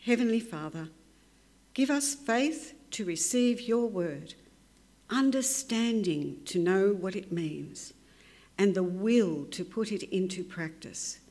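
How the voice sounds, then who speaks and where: A woman leading a church service, reciting confession and prayers in a slow, solemn, prayerful way. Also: medium pitch, darkish tone. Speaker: service leader.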